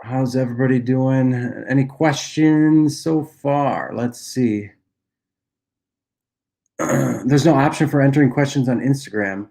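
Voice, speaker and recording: A young man with a nasal voice from a cold, casually answering viewer questions on a livestream and often clearing his throat. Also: mid-range voice, a little slow. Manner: relaxed, loose delivery with frequent uhs and throat clearing. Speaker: app cofounder and dietitian hosting a Q&A livestream.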